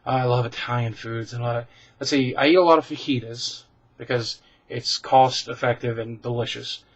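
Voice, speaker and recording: A man's voice: mid-range voice, steady tone, normal speed, highs cut off. A man vlogging casually, answering viewer questions in a chatty, self-deprecating way full of ums and restarts. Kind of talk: casual rambling Q and A.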